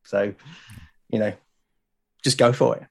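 A man's voice: fairly low pitch, steady tone, unhurried, vocal fry. Earnest British man chatting. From Britain. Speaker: podcast guest, illustrator and podcaster. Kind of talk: casual interview chat.

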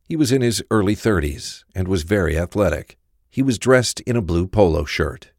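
A man's voice: low-pitched, expressive, normal speed, darkish tone, no echo, clean studio sound. A man reading scripted narration for a crime audio drama.

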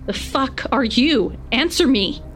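A woman's voice: high voice, animated, slow pace, fairly bright tone, exaggerated voice. A woman playing a suspicious, confrontational survivor in a post-apocalyptic audio drama, demanding and foul-mouthed. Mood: hostile, suspicious. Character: hostile, suspicious woman survivor guarding her shelter.

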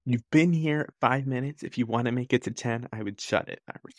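A woman's voice: rumbling low, fairly quick, no echo, studio quality. A woman co-hosting a podcast, chatting casually with blunt, sarcastic quips. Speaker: podcast co-host. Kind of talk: casual chat.

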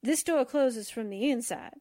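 A high-voiced, slangy young newspaperwoman out of a 1940s-style radio play, played by a woman. She takes her time over her words in a streetwise way. The sound is dry and slightly dull. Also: a little slow, warm tone, dry recording, lightly acted. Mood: dismissive, sassy. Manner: wisecracking, streetwise, brash. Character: sassy, slangy young female undercover newspaperwoman. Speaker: actress in a radio drama. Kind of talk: 1940s radio drama acting.

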